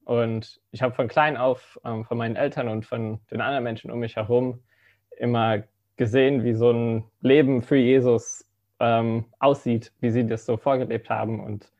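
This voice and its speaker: A man's voice: mid-range voice, flat delivery, moderate pace, highs cut off. A young man in his twenties, raised in the Philippines, giving a hesitant personal testimony full of fillers. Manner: hesitant, many fillers, soft-spoken. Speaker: student ministry intern giving a testimony.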